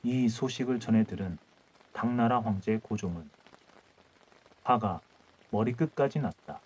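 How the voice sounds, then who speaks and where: Calm male bedtime storyteller. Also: on the low side, husky, poor audio. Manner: measured, phrase-by-phrase reading. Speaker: audiobook narrator.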